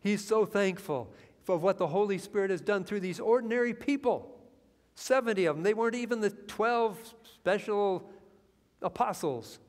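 A middle-aged man preaches from a church pulpit with a somewhat high voice. He talks earnestly and builds into excitement over scripture. A long reverb hangs in the sanctuary around him. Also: moderate pace. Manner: earnest preaching that swells with excitement, lines read from the Bible. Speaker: pastor. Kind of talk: sermon.

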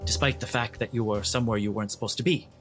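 A man voicing a flustered, overly polite ship's steward in a tabletop roleplay, halting, formal and apologetic. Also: on the low side, fairly even intonation, a bit bright, faint music behind, lightly acted. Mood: apologetic, officious.

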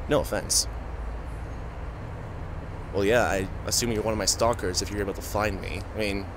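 A man playing an injured, famous musician who is guarded, halting and sarcastic, in an audio roleplay spoken to the listener. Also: lowish voice, steady tone, noisy background, close to an everyday voice. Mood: sarcastic, suspicious.

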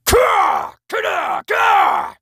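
A cocky male anime warrior hero, eager for battle. His strained voice is bright and forceful, with wildly swinging pitch. The delivery is dramatic and drawn out, made for a fighting cartoon. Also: wildly swooping pitch, very slow, creaky, no echo, studio quality, full character voice. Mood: fierce battle cries. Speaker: amateur voice actor. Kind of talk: anime voice reel of grunts, yells and battle lines.

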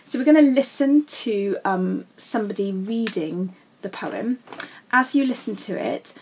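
A woman's voice: mid-range voice, animated, unhurried, darkish tone, slight background noise, rough recording. A woman teacher explaining literature in a recorded lesson, informal and addressing students directly. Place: voice-over for a slide lesson.

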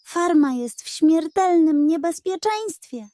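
A woman's voice: extremely high pitch, animated, slow, mellow, no room sound, studio quality, voice pushed up, exaggerated voice. A woman voicing a scheming, hot-tempered, greedy male pug in a children's story, dramatic and indignant. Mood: dramatic alarm.

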